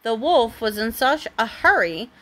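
A woman's voice: on the high side, big pitch swings, unhurried, a bit bright. A woman reading a storybook aloud expressively to a young child, as a parent does at bedtime.

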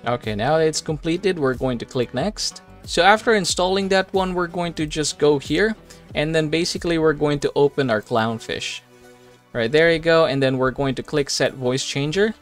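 Higher-pitched man narrating a software tutorial. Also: normal speed. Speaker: tutorial host. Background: background music.

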